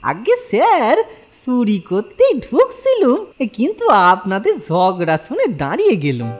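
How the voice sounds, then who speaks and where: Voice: mid-range voice, huge pitch swings, unhurried, a little mellow. An actor voicing a cheeky, simple-minded male thief in a comic cartoon, with a whining, folksy, mock-humble way of talking.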